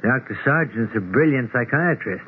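A practical, skeptical man played by an actor in a 1970s radio drama. His medium-pitched voice probes briskly and politely. The recording is narrow and tinny, with faint noise. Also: animated, lightly acted. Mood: reassuring, probing. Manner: brisk, polite, probing questions. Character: sensible, skeptical male friend of the doctor. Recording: tinny, noisy old radio broadcast.

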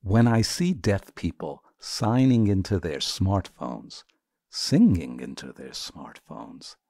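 A man performing poetry in an expressive, theatrical, deliberate reading style. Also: fairly low pitch, no echo, studio quality.

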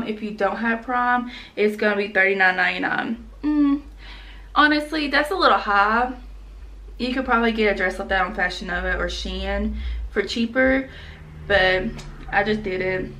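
Young woman vlogger, casual and blunt, mid-pitch, recorded slightly back from the mic at home. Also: pushed and bright, recorded from a distance. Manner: chatty, frank, peppered with "like" and slang. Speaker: fashion vlogger. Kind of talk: casual try-on haul chat. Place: small room indoors at home.

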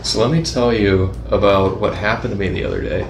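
Young male co-host, easygoing storyteller, lowish voice, roomy and slightly noisy. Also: fairly even intonation, a little fast, faint music behind. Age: young adult. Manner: chatty storyteller, jokey. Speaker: podcast co-host. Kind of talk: casual podcast banter. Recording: echoey room with faint noise.

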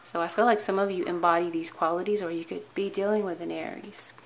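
A woman with a somewhat low, hoarse voice talks earnestly through a tarot spread, pausing and restarting her phrases. Her tone stays calm and steady. A faint hiss of room noise sits behind her words. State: hoarse, tired voice. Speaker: tarot reader. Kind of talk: weekly tarot reading to camera. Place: indoor tabletop with cards, voice off camera.

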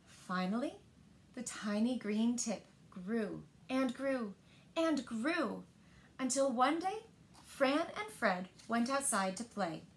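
A woman in her thirties reads picture books aloud to young children with expressive, deliberate phrasing, recorded indoors in a living room. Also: fairly high pitch. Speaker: storyteller at a library story time.

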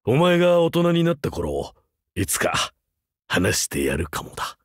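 A male actor playing a rugged older vampire hunter turned teacher in a game, wry, laconic and gruffly fatherly. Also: mid-range voice, huge pitch swings, a little slow, no room sound, clean studio sound, exaggerated voice. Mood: gently weary. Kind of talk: Japanese mobile game character voice lines.

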